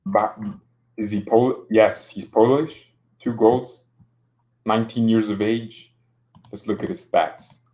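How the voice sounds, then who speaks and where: A man's voice: lowish voice, steady tone, slow, darkish tone, rough recording. A young man casually streaming about football, joking around and reading out chat comments. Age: young adult.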